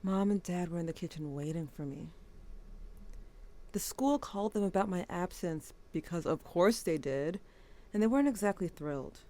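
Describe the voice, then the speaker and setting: A woman's voice: on the low side, normal speed, warm tone, close to an everyday voice. A woman voicing a grieving teenage boy. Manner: wry, bitter, subdued, swearing. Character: grieving teenage boy writing to his dead brother. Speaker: narrator. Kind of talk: audio drama monologue.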